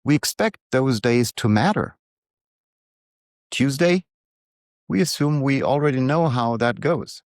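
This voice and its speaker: A middle-aged man, speaking calmly and reflectively in a prepared monologue to his readers. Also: medium pitch, dry recording, studio quality. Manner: calm, unhurried, reflective. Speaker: newsletter author and coach.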